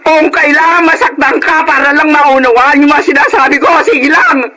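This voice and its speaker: A woman's voice: high voice, steady tone, moderate pace, close to an everyday voice. A woman playing an outspoken middle-aged Filipino wife in a radio drama, with an emphatic, dramatic delivery. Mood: exasperated. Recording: clear background noise.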